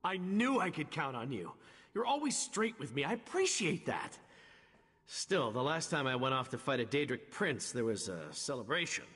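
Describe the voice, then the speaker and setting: An actor playing a chatty, wry, loyal adventurer man in a video game, with a husky voice and a casual, expressive manner. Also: medium pitch, moderate pace. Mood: warm, wistful. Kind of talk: video game character dialogue. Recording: long reverberant hall echo.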